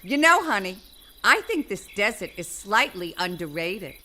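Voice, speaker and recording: A woman playing a wry, affectionate, brassy female vulture in a comic audio play, with emphatic, drawn-out delivery. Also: medium pitch, big pitch swings, bright, forceful tone. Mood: fond, wry.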